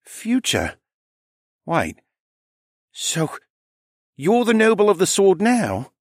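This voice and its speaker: A man's voice: sits high, wildly swooping pitch, takes it slowly, dry recording, studio quality, pitched above the performer's own voice, heavily acted. A man voicing a nervous, self-doubting young male green dragon in a hesitant, emotional way for a fantasy audiobook. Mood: stunned.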